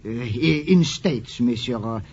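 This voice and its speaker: A man playing a proud, excitable café owner, broken English and a husky voice in 1940s radio acting. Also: medium pitch, unhurried. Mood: flustered, insistent. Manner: broken English, eager, chuckling, boastful. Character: excitable, proud Caribbean café proprietor, foreign. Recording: dull vintage 1940s radio recording.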